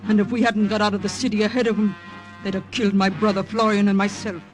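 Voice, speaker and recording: An actress playing a bitter, guarded waitress from a waterfront dive in a 1950s radio drama. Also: female voice, medium pitch, level tone, fast, faint music behind, lightly acted.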